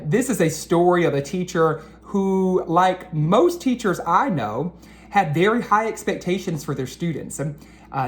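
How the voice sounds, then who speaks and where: A woman educator talking to children after a storybook read-aloud, deliberate and earnest, addressing them directly. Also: fairly low pitch.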